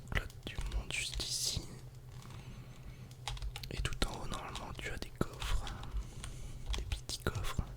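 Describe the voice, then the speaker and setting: A man whispers casual ASMR game commentary in a breathy, unvoiced voice, speaking directly to the viewer. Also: a little slow, pauses often. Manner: casual, soft-spoken, addressing the viewer directly. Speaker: ASMR gaming video creator.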